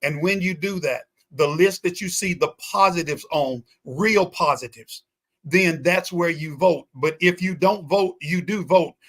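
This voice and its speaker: A man's voice: on the high side, a little slow, a bit bright. A man speaking as a guest pastor in a talk-show discussion, emphatic and repetitive with a preaching cadence.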